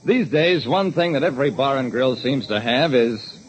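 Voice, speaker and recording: A man's voice: mid-range voice, lively intonation, moderate pace, close to an everyday voice, rough recording. An actor playing a terse, observant private detective, narrating his casebook in a clipped, dramatic 1940s radio style on a hissy old recording. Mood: dramatic, brooding. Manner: dramatic, clipped hard-boiled storytelling.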